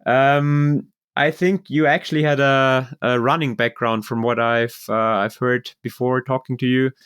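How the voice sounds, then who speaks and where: A German-native man hosts a podcast interview, friendly and loose, leaning on fillers as he frames his questions. His voice is smooth and clear, at a middling pitch. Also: fairly bright tone. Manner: friendly, halting, many fillers. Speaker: podcast host. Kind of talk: casual interview hosting.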